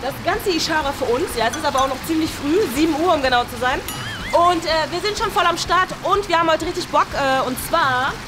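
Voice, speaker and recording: A woman's voice: high-pitched, a little fast. A young woman chattily hosting a casual group vlog to camera inside a swimming pool building. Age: young adult. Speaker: video host. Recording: loud music bed over voice.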